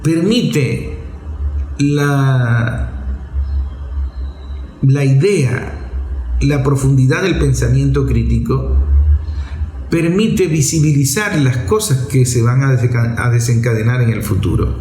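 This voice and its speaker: A man's voice: medium pitch, slow pace, long pauses, warm tone, echoey room, poor audio. A man with a Venezuelan accent delivers a political commentary monologue as a show host, explaining didactically in short, emphatic, repeated phrases.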